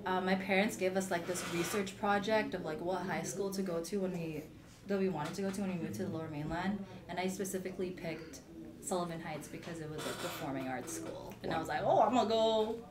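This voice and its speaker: A woman's voice: fairly low pitch, fairly quick, a crowd in the background. A young woman with a Canadian accent chats casually as a podcast guest, in an informal, slangy, giggly way. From Philippines and British Columbia, Canada. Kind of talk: casual chat, personal anecdotes.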